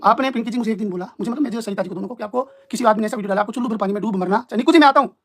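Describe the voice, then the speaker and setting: A man's voice: high-pitched, racing. A man in an informal live-stream argument, explaining himself in an emphatic, repetitive, combative way.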